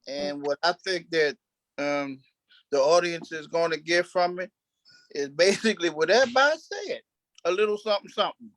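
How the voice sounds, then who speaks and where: A man with a high-pitched voice talks as a cast member in an unscripted discussion. He is emphatic and circles back over his phrases with restarts. The sound is dry and close. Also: fairly bright tone, dry recording. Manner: emphatic, repetitive, halting restarts. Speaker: stage play cast member.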